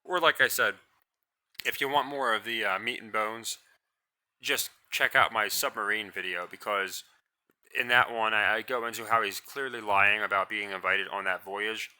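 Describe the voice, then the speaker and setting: A man's voice: fairly low pitch, normal speed. A man ranting in a blunt, scornful way in a solo commentary, rambling and restarting his sentences. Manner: describes own voice as monotonous. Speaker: commentary channel host.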